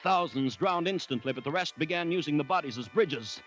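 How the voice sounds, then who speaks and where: An actor playing an anxious, grave commissioner who recounts a jungle siege in a dramatic 1940s radio style. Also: male voice, on the high side, animated, dull audio. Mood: grave, suspenseful. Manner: dramatic, measured storytelling with tense dialogue. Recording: vintage 1940s radio recording, loud music.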